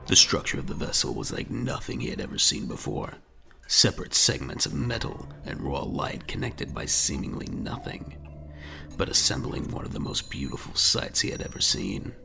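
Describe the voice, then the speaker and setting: A man's voice: rumbling low, big pitch swings, normal speed, creaky. A man narrating a scripted audio drama in a dramatic storytelling style. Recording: music bed under the voice.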